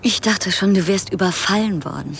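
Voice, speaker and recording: Actress as a creaky-voiced, questioning woman. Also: mid-range voice, normal speed, slight background noise, natural voice. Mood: puzzled. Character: puzzled, probing woman, familiar with the man addressed. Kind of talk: naturalistic radio play dialogue.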